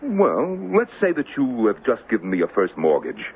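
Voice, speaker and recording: A swooping, boastful devil on tinny radio. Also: male voice, fairly low pitch, wildly swooping pitch, moderate pace. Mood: sly, grand. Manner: theatrical, teasing, grandly self-important. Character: the devil as a sly, boastful deal-making hustler. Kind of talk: radio drama acting. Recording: tinny, hissy old radio recording.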